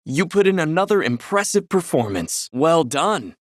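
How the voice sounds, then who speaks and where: An actor playing a courteous, encouraging tournament clerk in a video game, formal and articulate. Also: male voice, a little high, very animated, moderate pace, no room sound. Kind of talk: scripted video game dialogue.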